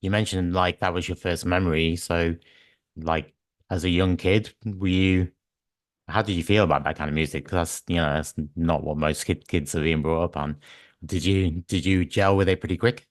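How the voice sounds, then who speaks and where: Croaky low-voiced male interviewer. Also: steady tone, normal speed, dry recording, clean studio sound. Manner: friendly, hesitant, many ums and restarts. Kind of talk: casual interview questions.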